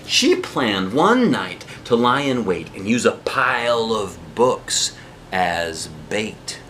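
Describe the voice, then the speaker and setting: A man's voice: fairly low pitch, big pitch swings, slow, fairly bright tone, slight background noise. A man reading a picture book aloud as a dad would, with dramatic rhythmic phrasing and casual asides to children.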